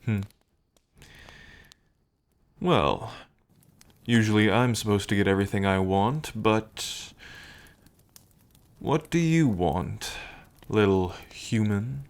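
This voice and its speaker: A man playing a smitten, teasing dragon king in an intimate ASMR roleplay, with unhurried, murmuring pauses. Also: fairly low pitch. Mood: romantic, playful. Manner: unhurried, murmuring pauses, hums and soft chuckles.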